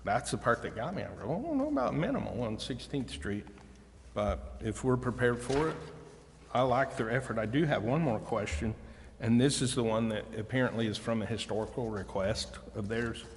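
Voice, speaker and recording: A man speaking informally as a councilman in a meeting, thinking aloud as he questions and comments. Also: medium pitch. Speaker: city councilman. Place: large indoor council chamber. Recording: reverberant hall, faint background noise.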